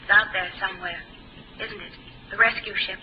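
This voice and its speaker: An actress playing a cool, calm young woman companion in a 1950s radio drama, speaking softly and gently. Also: medium pitch, fairly quick, lightly acted. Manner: soft, calm and gently probing. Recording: dull old 1950s radio broadcast with faint hiss.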